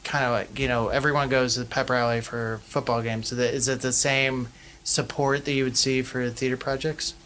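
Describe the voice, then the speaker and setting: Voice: mid-range voice, flat delivery, normal speed, a bit bright, a little hiss or hum. An interviewer asking students rambling, conversational questions. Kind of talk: casual interview.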